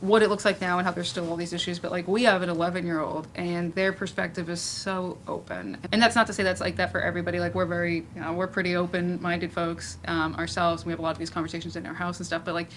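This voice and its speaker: A woman's voice: fairly low pitch, little pitch movement, quick pace, few pauses, a little hiss or hum. A woman moderating a panel discussion in an informal, chatty way, often saying "like" and "you know".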